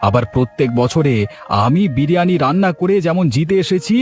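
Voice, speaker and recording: Casting: man to play cocky village food-stall husband for cartoon comedy, quick, scornful, highish male pitch. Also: soft background music, natural voice. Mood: proud, assured. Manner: boastful, scornful, emphatic. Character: boastful, stubborn village biryani cook and stall owner, husband.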